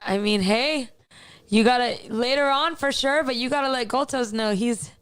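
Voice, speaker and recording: A woman singer with a raspy voice, chatting loosely as a guest on a radio show. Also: on the high side, normal speed, fairly bright tone. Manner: laughing, loose and unguarded. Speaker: musician guest. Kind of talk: casual radio chat.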